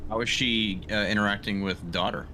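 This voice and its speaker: Deep, bright-voiced man playing a tabletop game. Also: fairly even intonation, brisk, a little hiss or hum. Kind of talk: casual in-character questions and game chat.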